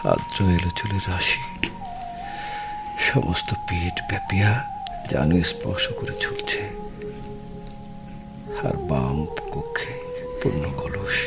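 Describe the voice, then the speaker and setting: An actor playing a doting, sentimental elder brother in a Bengali radio drama, reflecting poetically and theatrically. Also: male voice, low-pitched, heavily acted. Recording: loud music over the voice.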